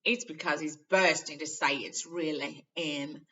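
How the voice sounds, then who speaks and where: A man's voice: on the high side, steady tone, unhurried, bright, forceful tone, dull audio, slight background noise. A woman voicing a wry, sarcastic, knowing schoolboy in a storybook reading.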